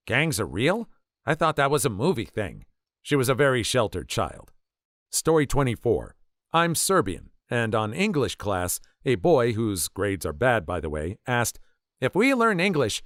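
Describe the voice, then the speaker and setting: A man's voice: mid-range voice, fairly bright tone, no room sound, studio quality. A man reading out short anecdotes as scripted narration for an online story compilation. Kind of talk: scripted narration of read-out stories.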